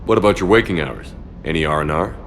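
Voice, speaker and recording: An actor playing a steady, authoritative military commander in a video game, speaking in a direct, clipped manner. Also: male voice, low voice, fast, a little hiss or hum. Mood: concerned. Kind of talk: video game dialogue acting.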